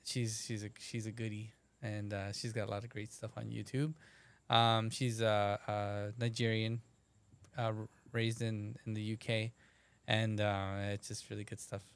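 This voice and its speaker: A man's voice: fairly low pitch, little pitch movement, normal speed, clean tone. A man in casual conversation, talking in a laid-back, rambling way with casual swearing. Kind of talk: casual chat.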